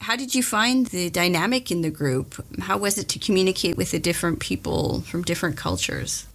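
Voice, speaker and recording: A woman interviewer with a lowish voice asks questions and gives encouraging, supportive replies. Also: sing-song, normal speed, fairly bright tone.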